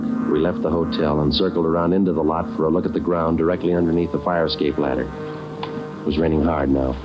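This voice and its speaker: An actor playing a stoic police detective in a 1940s radio crime drama, speaking in terse, clipped, deadpan lines. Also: male voice, a bass voice, low-quality recording. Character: stoic male police detective narrating a manhunt. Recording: old radio recording with loud music behind.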